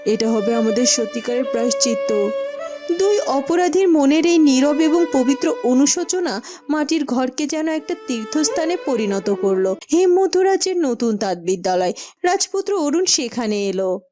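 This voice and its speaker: A woman's voice: sits high, animated, moderate pace. A woman narrating and acting in a dramatic cartoon fairytale style. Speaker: narrator and character voice. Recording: music under the voice.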